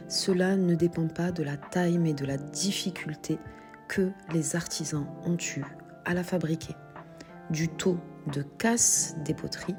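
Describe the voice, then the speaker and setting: Low-pitched lady with a cold, chatty shop owner showing off her pots, background music playing. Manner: chatty, explains prices step by step. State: has a cold. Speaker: shop owner presenting stock. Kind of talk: product walkthrough video.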